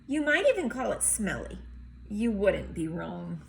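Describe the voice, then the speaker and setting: A woman reading a storybook aloud in an expressive, emphatic way, with chatty asides as if to young children. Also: mid-range voice, measured pace, gravelly, a little hiss or hum. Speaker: teacher or reader reading a picture book aloud.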